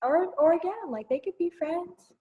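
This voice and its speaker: A woman's voice: extremely high pitch, animated, normal speed, phone-quality sound. A woman hosting a children's storytime, reading aloud playfully with casual, exclamatory asides. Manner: playful, exclamatory, commenting as she reads. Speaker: children's librarian storytime host.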